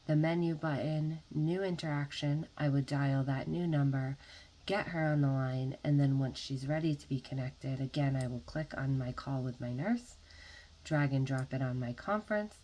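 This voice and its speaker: A woman narrating an instructional software tutorial as a trainer, explaining methodically one step at a time. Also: low-pitched, rough recording.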